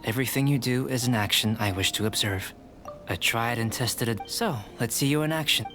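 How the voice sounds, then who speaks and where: A man's voice: medium pitch, close to an everyday voice. Man acting a composed, coolly curious young alchemist over music. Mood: calm, instructive. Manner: measured, detached, formal, precise. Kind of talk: scripted video game character dialogue. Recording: game music behind the voice. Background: clear background game music.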